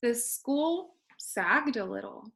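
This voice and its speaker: A woman teacher reads a children's story aloud for young listeners. Her voice is fairly high and swoops with expression. She goes slowly and deliberately, addressing the children directly. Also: lively intonation, gravelly, a bit bright. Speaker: kindergarten teacher reading aloud.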